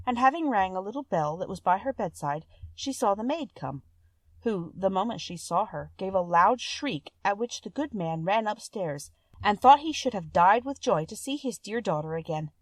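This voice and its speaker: A woman's voice: medium pitch, expressive, normal speed, no echo. A woman reading a classic fairy tale aloud as scripted storybook narration.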